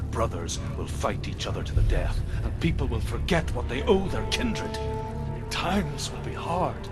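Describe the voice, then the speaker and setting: A man playing a grave storyteller of doom-laden Norse legends in a video game, ominous and measured, swelling and hushing. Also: mid-range voice.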